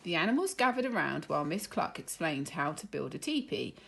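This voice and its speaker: A woman reading a children's story aloud in an expressive, deliberate teacherly way. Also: deep voice, creaky, slightly rough. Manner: animated, deliberate.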